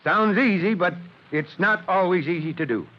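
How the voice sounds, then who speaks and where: An actor playing a steady, fatherly American fire chief, measured and commanding, in an old radio drama. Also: sits high. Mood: friendly, instructive. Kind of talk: 1940s-50s radio drama acting. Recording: muffled old radio recording.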